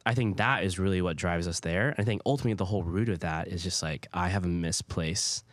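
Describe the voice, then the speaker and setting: A young man talks candidly on a podcast. His voice sits very low, and he keeps dropping "like" between thoughts as he tells personal stories. The close, dry mic leaves no room sound. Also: fast, no room sound. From Hawaii. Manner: candid, filler-heavy storytelling with frequent "like". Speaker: podcast co-host. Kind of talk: casual chat.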